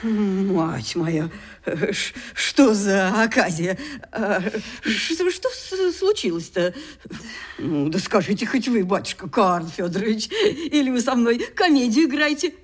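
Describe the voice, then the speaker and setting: A woman's voice: mid-range voice, very animated, takes it slowly. An actress playing a nosy, folksy old mother in a radio drama, fussing and hesitating with many interjections. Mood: bewildered. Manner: hesitant, fussy, colloquial, full of interjections. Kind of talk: theatrical Russian radio drama acting.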